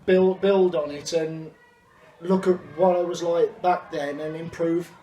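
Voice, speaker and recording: A man's voice: a little high, steady tone, a little slow, mellow, dull audio. A young man chatting casually in an interview about performing. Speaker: amateur pantomime performer. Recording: echoey room with music behind.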